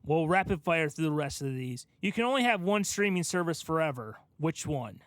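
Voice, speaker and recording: Higher-voiced man chatting casually, dry sound. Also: big pitch swings, normal speed, fairly bright tone, no room sound. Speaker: podcast co-host asking questions. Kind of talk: casual pop-culture chat.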